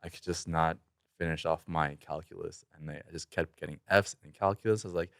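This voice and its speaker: Low-voiced relaxed man chatting. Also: a little fast, creaky, dry recording, clean studio sound. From South Korea. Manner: relaxed, chatty, laughs easily. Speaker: interview guest, fashion company founder. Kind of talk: casual conversational interview.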